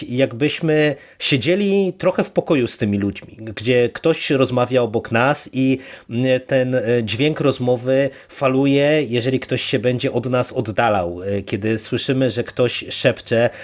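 Co-host: male, average pitch, conversational podcast discussion style. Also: unhurried, few pauses.

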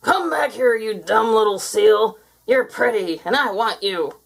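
A woman's voice: fairly high pitch, animated, a little slow, vocal fry, heavily acted. A woman voicing a big, greedy male monster in a growling, gloating storybook manner. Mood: raging. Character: big greedy male monster who hoards pretty things.

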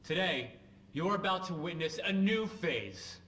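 An actor playing a smug, grandiose young tech founder giving a satirical game keynote, pompous, with emphatic pauses between phrases. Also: male voice, high voice, lively intonation, a bit bright. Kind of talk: satirical product launch keynote, game cutscene. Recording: echoey hall with crowd noise.

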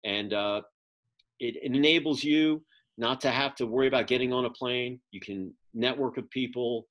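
A man's voice: medium pitch, moderate pace, a bit bright, dull audio. Middle-aged man on a video call. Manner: rambling, frequent "uh" fillers. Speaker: magazine publisher and event producer. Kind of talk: casual update on a video call. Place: home office with bookshelves. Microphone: video call webcam.

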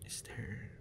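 A young man acting a busy, guarded friend in an intimate audio roleplay, with halting, stammering delivery. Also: noisy background. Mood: tender, soft.

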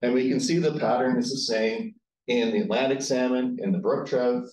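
A middle-aged man giving a measured conference lecture into a handheld mic. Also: mid-range voice, darkish tone. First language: English. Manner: explanatory, measured with fillers. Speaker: invited speaker. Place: conference hall with audience. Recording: echoey hall, from far off.

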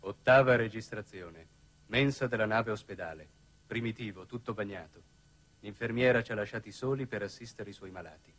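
A sober male aide dictates a mission log in a lowish voice. His delivery is terse and detached, in the style of vintage television science fiction. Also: fairly quick, a bit bright. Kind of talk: scripted log narration in 1960s TV drama.

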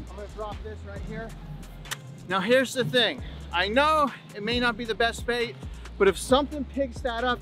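Guy in his 40s, pretty high voice, casual outdoor vlog, talks to viewers and repeats stuff for emphasis. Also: sharp tone. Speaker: fishing channel host. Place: outdoors on an open beach and in a kayak at sea.

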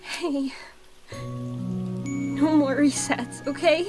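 A woman plays a soft-spoken small child in a comic dub. The voice is high and extremely slow, tender and full of feeling, with music behind. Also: steady tone. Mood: tender, reassuring. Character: gentle, emotional young human child, soft-spoken. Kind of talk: amateur comic dub acting.

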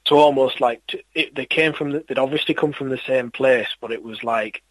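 A man talks in an easygoing, rambling way, often stopping to restart his sentences. His voice is a little husky and breathy. The sound is close and dry. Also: medium pitch, fairly quick, dry recording, rough recording. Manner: rambling, hesitant, many restarts and fillers. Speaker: interviewer and record collector. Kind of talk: casual conversational interview.

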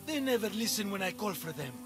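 A harried father in a video game, high-voiced and exasperated, announcing things with theatrical grandeur. Clear game music runs under him. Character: harried middle-aged father of three unruly sons.

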